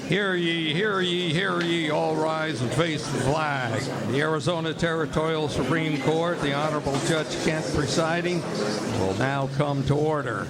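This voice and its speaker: Actor as declaiming bailiff over loud crowd. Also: male voice, on the high side, normal speed, close to an everyday voice. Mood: commanding. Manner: declaiming, ceremonial. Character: loud ceremonial court bailiff calling order. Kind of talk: radio drama announcement. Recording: loud crowd hubbub behind.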